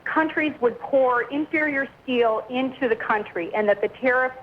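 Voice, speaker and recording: A woman's voice: high-pitched, normal speed. A woman calling in to a radio show, speaking conversationally. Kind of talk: conversational call-in question. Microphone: phone. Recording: dull call-in line, faint radio noise.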